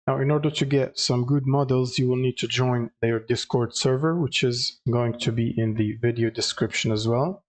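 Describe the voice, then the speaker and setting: A man narrating a step-by-step software tutorial with hesitant, halting phrasing. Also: mid-range voice. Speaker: tutorial presenter.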